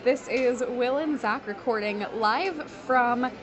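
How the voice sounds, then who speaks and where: A woman giving a casual, deadpan on-location report over the din of a busy restaurant. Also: a little high, slow, lo-fi sound. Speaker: diner recording a field report. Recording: loud restaurant crowd noise.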